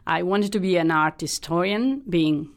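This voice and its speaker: Gravelly low-voiced Italian woman, thoughtful. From Italy. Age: middle-aged. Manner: thoughtful, pausing with frequent uh fillers. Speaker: hematologist guest. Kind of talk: radio interview conversation.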